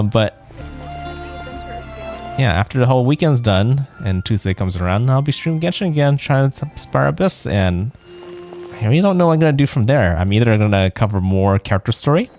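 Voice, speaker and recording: A man's voice: lowish voice, darkish tone. A man livestreaming a video game, chatting casually and thinking aloud in a rambling way. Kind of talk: casual chat while playing a game.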